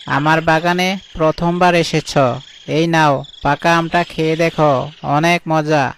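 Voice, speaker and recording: A friendly cartoon jackal played by a man, busy and eager. His male voice sits a little high and chats readily. Faint crickets and running water sound behind him. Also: level tone, takes it slowly, vocal fry, fairly bright tone, slight background noise, full character voice. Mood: hospitable. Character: friendly, hardworking male jackal orchard keeper. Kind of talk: cartoon voice acting.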